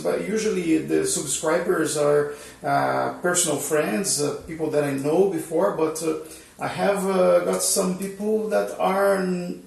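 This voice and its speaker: A man's voice: a little high, gravelly. A man whose first language is Portuguese, hosting his channel and explaining bike frame measurement in a casual, hesitant way, with many fillers and restarts. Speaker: channel host. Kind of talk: casual instructional talk. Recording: roomy echo, mic at a distance.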